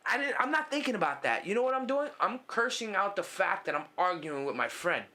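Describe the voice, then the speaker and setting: Animated, bright-voiced New man. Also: high voice, fairly quick. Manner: animated storytelling, heavy swearing, acts out quotes. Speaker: podcast host. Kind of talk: casual chat with an old friend.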